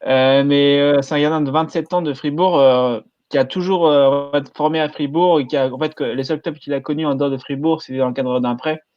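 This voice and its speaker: A man talking casually on a podcast, hesitant and filling pauses with euh. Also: a little high, fairly even intonation, darkish tone, no room sound, muffled recording. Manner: hesitant, many fillers. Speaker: podcast panelist.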